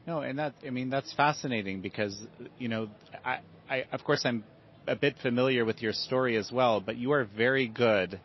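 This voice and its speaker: A man interviewing a guest, asking questions in a halting way as he searches for words. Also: medium pitch, slight background noise. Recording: dull, lo-fi sound.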